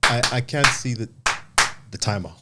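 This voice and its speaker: A man hosting a casual podcast interview in a studio, chatty and animated, with informal, slangy, friendly phrasing. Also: medium pitch, moderate pace, loud music behind the voice. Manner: animated, loud talker. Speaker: podcast host.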